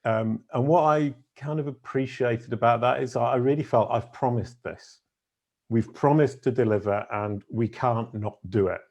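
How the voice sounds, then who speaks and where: A middle-aged man with a big deep voice, a podcast guest telling long stories in casual conversation, with fillers and self-corrections along the way. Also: moderate pace, vocal fry, darkish tone, muffled recording. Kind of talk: casual storytelling interview.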